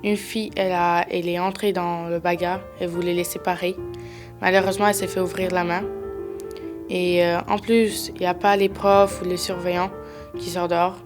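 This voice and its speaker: A young female student from southeastern Europe narrates a prepared account as a non-native speaker. Her voice is slightly low and even in pitch, with guitar music clearly behind. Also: fairly quick. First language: not French. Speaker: newly arrived student. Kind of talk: scripted personal narration.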